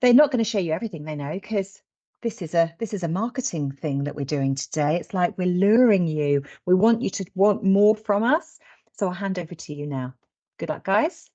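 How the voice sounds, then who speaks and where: A woman's voice: on the low side, lively intonation, moderate pace, dark tone. A woman hosting a webinar in an informal, chatty and blunt colloquial way. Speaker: webinar host. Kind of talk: informal presentation. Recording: slightly dull lo-fi webinar audio.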